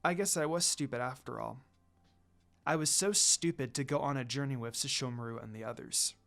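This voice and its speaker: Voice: mid-range voice, fairly quick, gravelly, fairly bright tone, faint music behind, pitched below the performer's own voice. A reader voicing a sad, defiant young woman from a fan story in an emotional, dramatic way. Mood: sad, regretful.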